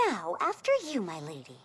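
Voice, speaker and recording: An actress playing a lively, teasing castle attendant in a video game cutscene, speaking playfully with formal courtesy. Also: female voice, on the low side, slightly rough, exaggerated voice.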